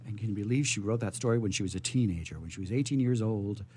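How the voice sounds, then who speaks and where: A man's voice: fairly low pitch, fast talker, mellow, a little hiss or hum. A man hosting a live radio show, rambling playfully off the cuff with theatrical phrasing.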